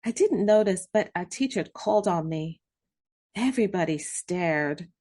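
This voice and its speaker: A woman narrating a children's storybook, reading expressively with drawn-out emphasis and dramatic pauses. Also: fairly low pitch, expressive, dark tone. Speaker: read-aloud host narrating children's books. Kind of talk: scripted children's storybook narration in first person.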